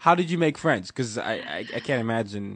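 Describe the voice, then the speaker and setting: A man's voice: medium pitch, lively intonation, very fast, a bit bright, no echo. A man from Nigeria interviewing a guest, encouraging and friendly, often restarting his words. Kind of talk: casual conversational interview.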